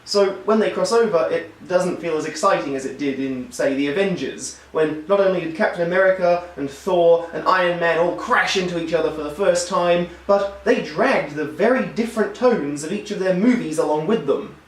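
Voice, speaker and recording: Hoarse high-voiced man reviewing films. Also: normal speed, few pauses. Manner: wry, enthusiastic critique. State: hoarse, losing his voice. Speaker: film reviewer. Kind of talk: scripted video review. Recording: roomy echo, distant mic.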